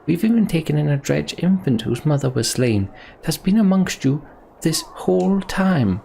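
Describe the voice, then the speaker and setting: A man's voice: on the high side, very animated, moderate pace, creaky, mellow, slight background noise, pitched above the performer's own voice. A man voicing an earnest, pleading woman leader who defends refugees in a fantasy game. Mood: earnest, firm.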